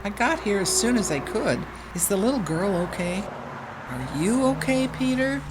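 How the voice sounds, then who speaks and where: A woman's voice: medium pitch, sing-song, moderate pace, background noise, natural voice. A woman playing a kind, earnest, reassuring religious sister in an audio drama. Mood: concerned.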